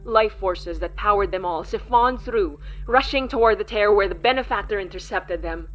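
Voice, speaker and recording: A woman's voice: mid-range voice, moderate pace, fairly bright tone, faint music behind, natural voice, poor audio. An actress playing a solemn supernatural elder, a woman recounting an old war in a dramatic monologue. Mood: solemn, regretful.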